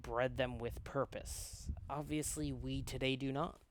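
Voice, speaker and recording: A man reading a visual novel aloud as a learned, cautious scholar, formal and measured as he explains and narrates. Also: medium pitch, fairly even intonation, a little hiss or hum, lightly acted, poor audio. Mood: lecturing.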